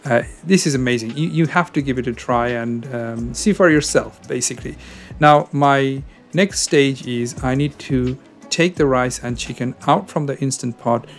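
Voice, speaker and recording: A man's voice: mid-range voice, moderate pace, soft background music. A man narrating his cooking video in a casual, hesitant way, with many ums and uhs. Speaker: cooking channel host.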